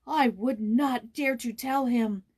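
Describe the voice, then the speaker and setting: Storybook role: scared, sorrowful young boy, played by a woman, high and trembling, timid delivery. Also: slow. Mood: tremulous, dejected. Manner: tremulous, sometimes dropping to a hushed whisper.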